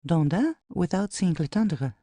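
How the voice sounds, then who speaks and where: A woman reads stage directions in a scripted, measured way. Her delivery is slow and her tone mellow, in a very dry, close recording. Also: lowish voice, animated, no room sound. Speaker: narrator reading stage directions. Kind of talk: scripted narration.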